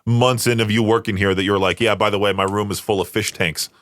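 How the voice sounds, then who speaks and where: A man's voice: fairly low pitch, steady tone, fast, fairly bright tone. A man hosting a radio show, chatting casually and joking while reading prompts aloud. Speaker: radio host. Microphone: studio mic. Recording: dry studio sound.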